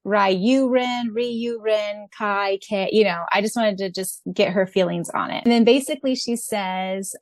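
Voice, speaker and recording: A woman's voice: medium pitch, no room sound. A woman co-hosting a podcast in a casual, chatty conversational style. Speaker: podcast co-host and audiobook narrator.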